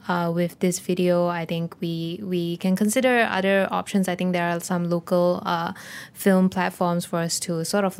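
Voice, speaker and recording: A woman with a mellow, low voice, answering interview questions hesitantly. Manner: hesitant, many "I think" and "uh". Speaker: guest, voice actor.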